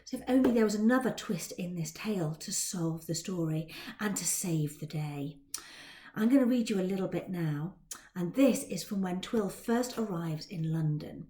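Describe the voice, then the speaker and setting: Animated, high-voiced woman teacher in roomy space. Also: normal speed. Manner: dramatic, expressive storytelling. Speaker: teacher and author. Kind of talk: animated talk and story reading for students. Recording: roomy indoor echo.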